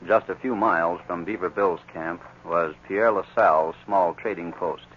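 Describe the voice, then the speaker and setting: A man's voice: sits low, normal speed. A man narrating a scripted adventure story in dramatic 1940s radio style. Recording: dull, noisy 1940s radio recording.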